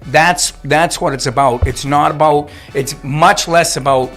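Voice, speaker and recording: A man's voice: a little high, steady tone, a little slow, a bit bright, faint music behind. A middle-aged man with a Boston accent, a city council candidate answering questions emphatically on a radio show, often repeating words as he searches for them. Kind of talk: radio interview.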